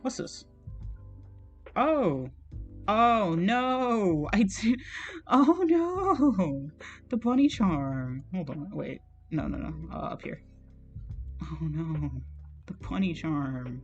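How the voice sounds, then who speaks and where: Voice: mid-range voice, sing-song, extremely slow, pauses often, dull audio, soft background music. Chatty streamer with a slightly strained voice. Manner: chatty and self-conscious, laughs often, swears casually. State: slightly strained, scratchy throat. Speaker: digital artist streaming. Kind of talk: casual rambling commentary while drawing.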